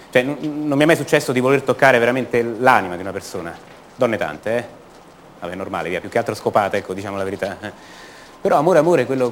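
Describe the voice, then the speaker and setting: Actor as a bitter, restless son, noisy background. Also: male voice, medium pitch, lightly acted. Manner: halting, repeating words, confiding in the listeners. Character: bitter, restless grown son back in his empty family home. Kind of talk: stage-play monologue acted for radio theatre.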